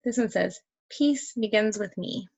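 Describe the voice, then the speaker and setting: A woman reading a picture book aloud to young children, expressive and encouraging, with conversational asides. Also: mid-range voice, measured pace, gravelly. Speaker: read-aloud host for children.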